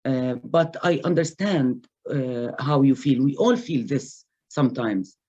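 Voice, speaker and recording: A man answering as a panelist in an online webinar, speaking in a measured, hesitant way with many fillers, over a video call. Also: medium pitch, darkish tone, dull audio.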